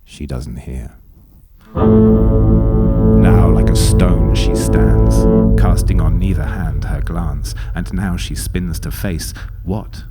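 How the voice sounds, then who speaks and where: A man performing dramatic poetry narration in a hushed, intense, theatrical manner. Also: low voice, takes it slowly. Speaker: actor reciting poetry. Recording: loud music under the voice. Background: music.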